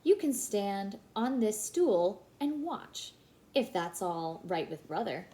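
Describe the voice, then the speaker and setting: A kindly male bear dentist from a children's storybook, as a woman reader plays him. He speaks in a measured, reassuring way, gentle with young patients, with varied pitch. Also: very animated.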